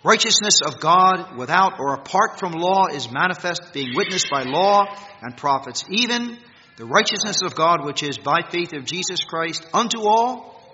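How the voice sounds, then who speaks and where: An American man preaching a sermon in a church meeting hall, reading scripture and stressing words emphatically, swinging between loud peaks and quiet drops. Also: high voice, lively intonation, normal speed, noisy background. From United States. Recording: echoey hall, dull lo-fi sound.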